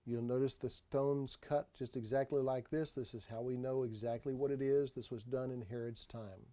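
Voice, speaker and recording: A man giving an unscripted tour voice-over, explaining sights in a halting way and often repeating his phrases. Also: medium pitch, steady tone, dark tone. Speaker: tour narrator. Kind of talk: unscripted travel video voice-over.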